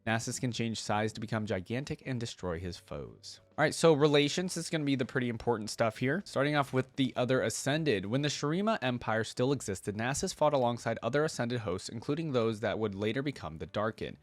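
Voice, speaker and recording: A man's voice: medium pitch, lively intonation, a little fast, hardly any pauses, no echo. A man hosting a reaction video, talking informally and thinking aloud as he comments and reads along. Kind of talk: casual reaction commentary, reading wiki text aloud.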